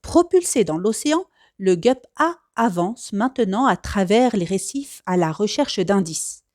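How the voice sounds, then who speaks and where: Animated woman reading a children's story. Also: mid-range voice, unhurried, no room sound, clean studio sound. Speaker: storybook reader. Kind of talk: expressive scripted narration for children.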